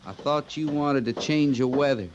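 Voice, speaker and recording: A wry, bossy frontier sergeant played by a man, his American voice pitched a little high. He talks with folksy sarcasm in a radio Western, on an old recording with clear noise. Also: moderate pace, lo-fi sound, close to an everyday voice. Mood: wry, teasing. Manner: sardonic, commanding, folksy. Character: gruff, sardonic cavalry sergeant, a man.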